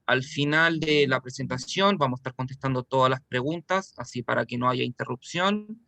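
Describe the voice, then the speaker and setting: A man's voice: mid-range voice, normal speed, pushed and bright, no echo. Man, webinar host, casual chatty announcements, mid-range voice, dry sound.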